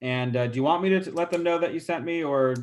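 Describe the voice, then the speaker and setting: A man's voice: fairly high pitch, fast. Stuffy-nosed man on a video call. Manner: casual, chatty, many fillers. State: stuffy nose. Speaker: real estate agent demonstrating a call script. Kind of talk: role-play training demo.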